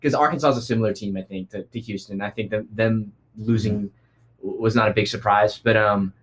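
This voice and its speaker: A man's voice: on the low side, fairly even intonation, a little fast. A man on a casual sports chat, weighing his views with a lot of "I think". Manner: thoughtful, hedging with "I think". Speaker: panelist.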